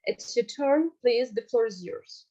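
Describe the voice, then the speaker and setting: A woman's voice: a little high, expressive, unhurried, warm tone, highs cut off. A woman moderating an online round table, introducing speakers politely and formally.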